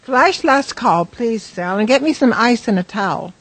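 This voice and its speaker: A woman as a husky, caring barmaid. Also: a little high, expressive, normal speed, a bit bright, no room sound, poor audio. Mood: helpful. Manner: solicitous, plain-spoken. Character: caring, practical woman working in a bar. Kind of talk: radio drama acting.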